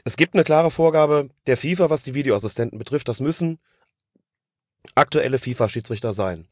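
A man's voice: medium pitch, a little fast, darkish tone, no room sound, studio quality. A man with a slightly congested voice from a cold, giving casual, wordy, considered football commentary on a podcast. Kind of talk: casual analytical podcast talk.

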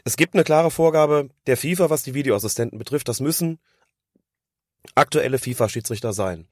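Quick-talking hoarse man. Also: medium pitch, a little mellow, no room sound, clean studio sound. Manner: analytical, rambling explanations. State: hoarse from a cold. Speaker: podcast co-host discussing refereeing. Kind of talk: casual podcast chat.

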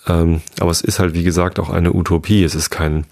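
A man from the Hamburg area, talking through his ideas in a reflective monologue, halting often with fillers and restarts. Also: low voice, flat delivery, gravelly, a little mellow. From Northern Germany, Hamburg area. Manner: hesitant, many fillers and restarts.

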